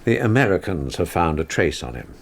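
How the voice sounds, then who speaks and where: An actor playing a measured, sceptical intelligence officer in a radio drama, polite but probing. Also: male voice, low voice, sing-song, full character voice. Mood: dry. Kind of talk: naturalistic radio drama acting.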